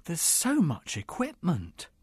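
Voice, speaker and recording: A woman's voice: low voice, very animated, normal speed, dry recording, studio quality. A woman voicing a hesitant, curious young man who is a new trainee at a TV station. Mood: awed. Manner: hesitant, questioning.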